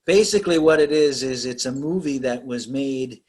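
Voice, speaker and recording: Rambling male podcast host, mid-pitch. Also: normal speed, darkish tone. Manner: rambling, laughs often, many fillers. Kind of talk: casual video-call interview.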